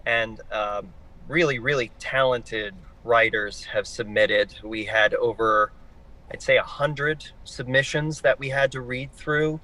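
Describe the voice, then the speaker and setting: A man's voice: medium pitch, bright, forceful tone, low-quality recording. A man giving enthusiastic, explanatory answers as a guest in a live interview. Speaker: interview guest, theater artistic director.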